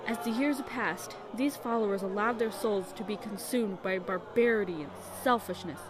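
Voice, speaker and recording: A woman playing a kindly storyteller in an audio drama, earnest and deliberate as she narrates. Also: medium pitch, noisy background, lightly acted. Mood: grave storytelling. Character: kindly grown woman telling a child a story.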